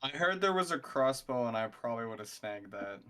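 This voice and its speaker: A man's voice: mid-range voice, sing-song, a little fast, bright, forceful tone, clean tone. A man talking casually as a player in an online tabletop role-playing game. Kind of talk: casual chat. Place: online group call.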